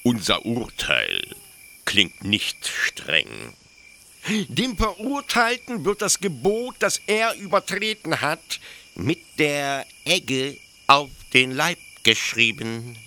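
A man acts out a zealous young officer of a penal colony in a radio play. His voice is sharp and very bright, swinging widely as he explains with pedantic, halting pride. There is faint noise behind him. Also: a little high, very animated, heavily acted. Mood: matter-of-fact, cold. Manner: hesitant pedantic explaining, with stammered pauses. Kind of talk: German literary radio play acting.

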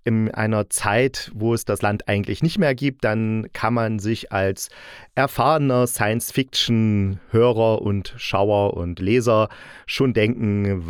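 Male podcast host, lowish voice, wry and playful reviewer, dry close recording. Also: clear, resonant tone, dry recording. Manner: jokey, self-ironic. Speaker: podcast host and audio drama critic.

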